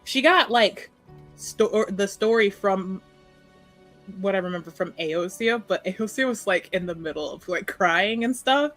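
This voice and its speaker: A woman's voice: mid-range voice, animated, a little slow, a bit bright, soft background music. A woman chatting casually as a player in a tabletop roleplaying game, working things out as she talks. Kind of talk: casual improvised game chat.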